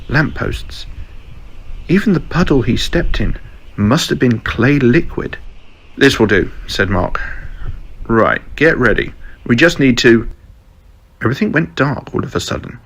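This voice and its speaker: A man reading a scripted fan fiction story as narrator, acting out dialogue as he goes. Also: medium pitch. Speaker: storyteller, podcast host.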